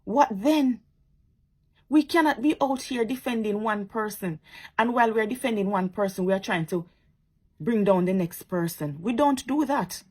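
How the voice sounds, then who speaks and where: A Jamaican woman lectures her followers with fervor, circling back to the same points again and again and slipping into sarcasm. Her voice is husky and slightly breathy, sitting at an ordinary middle pitch. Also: normal speed, darkish tone. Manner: preachy, repetitive and sarcastic. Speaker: social media commentator. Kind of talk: impassioned commentary to viewers.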